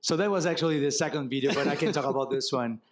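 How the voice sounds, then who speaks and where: Brazilian-accented man, relaxed and wry. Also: medium pitch, fast talker. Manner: relaxed, wry, explains with examples. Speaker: marketing executive guest. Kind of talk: onstage fireside chat. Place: conference stage before an audience.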